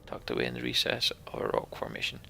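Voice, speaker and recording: A man's voice: fast, bright, forceful tone, slight background noise. A man hosting a voiced game playthrough, reading the story aloud with casual, sarcastic asides. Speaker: let's play host.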